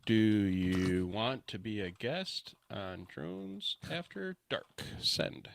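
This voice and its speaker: Brash low-voiced male podcast co-host. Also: animated, slow pace, creaky. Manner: brash, swearing, joking banter. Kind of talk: casual podcast chat. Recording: dry close mic in a home office.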